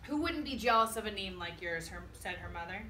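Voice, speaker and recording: A woman's voice: mid-range voice, animated, fairly quick, pushed and bright, far from the mic, slight background noise. A woman teacher reading a children's picture book aloud to her class, lifting her voice for the characters' lines.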